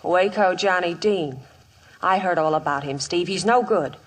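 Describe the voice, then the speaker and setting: A woman's voice: lowish voice, moderate pace, natural voice. A woman playing an earnest, plain-spoken young frontier woman in a 1950s Western radio drama. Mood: uneasy. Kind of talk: 1950s radio drama acting and first-person narration. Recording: 1950s radio broadcast recording.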